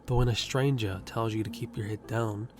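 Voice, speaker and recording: A man's voice: on the low side, steady tone, moderate pace, close to an everyday voice. A man playing a confident, self-centered handyman in an audio roleplay, teasing and cocky. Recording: music bed underneath.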